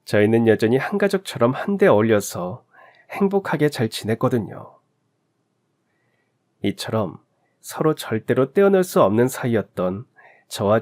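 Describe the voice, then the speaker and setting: A man narrating a personal story as a radio drama, in a measured, emotive storytelling manner. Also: medium pitch, darkish tone.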